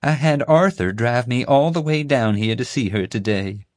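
A man voicing an elderly, genteel Southern lady with a slow, honeyed drawl. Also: mid-range voice, no echo, clean studio sound, pitched above the performer's own voice, close to an everyday voice. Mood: gracious.

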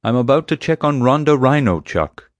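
A wry, slyly mischievous security consultant, played by a man reading an audiobook. He sounds defiant, with the pitch raised well over the narrator's own voice. The sound is dry. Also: lively intonation, moderate pace, no echo, lightly acted. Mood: firm, annoyed. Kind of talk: audiobook character dialogue.

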